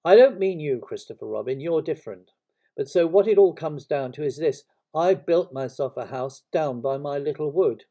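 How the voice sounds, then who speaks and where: Man voicing a mellow, mournful grumbling donkey. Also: fairly high pitch, lively intonation, normal speed, pitched above the performer's own voice. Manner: melancholy, resigned, grumbling delivery. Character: gloomy, self-pitying old grey toy donkey.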